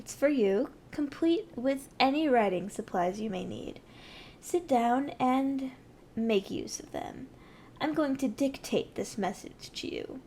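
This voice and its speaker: A woman's voice: a little high, darkish tone, a little hiss or hum. A woman playing a haughty, ruthless evil sorceress queen in an ASMR roleplay, speaking in an imperious, menacing, condescending way. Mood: commanding, condescending. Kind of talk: scripted ASMR roleplay addressed to the listener.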